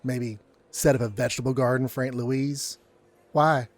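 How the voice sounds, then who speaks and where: A man's voice: medium pitch, steady tone, normal speed, natural voice. A man playing a meek, good-natured young coffeehouse worker in an audio drama, mild and hesitant in manner. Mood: casual.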